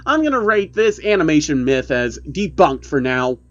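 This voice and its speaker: A man's voice: on the high side, very animated, normal speed, fairly bright tone, lo-fi sound. A man voicing a chatty male YouTuber who explains creepy cartoon myths emphatically, in online video style. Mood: brisk debunking.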